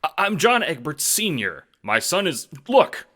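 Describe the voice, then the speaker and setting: A dapper man on the run, played by a male narrator, with a bright, forceful voice. His pitch moves freely through old-time gangster phrasing, in audio drama style. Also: big pitch swings, measured pace, a little hiss or hum. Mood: flustered. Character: dapper, jumpy male fugitive with gangster talk.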